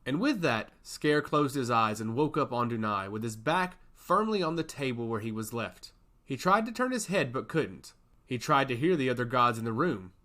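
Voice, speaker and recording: A male narrator tells a fantasy story from a script. His pitch is mid-range and moves about in a lively, animated way.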